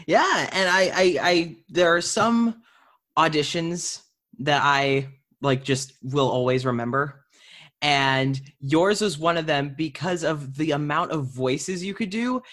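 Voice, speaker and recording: A young adult interview host with a medium-pitched voice talks at a very slow pace. The delivery is informal and conversational, like a chat between friends. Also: a bit bright.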